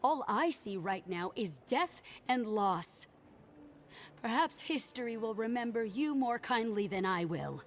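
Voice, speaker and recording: A bitter, solemn, grieving accuser. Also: female voice, on the high side, expressive, measured pace, a little hiss or hum. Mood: bitter grief. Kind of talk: dramatic game cutscene acting.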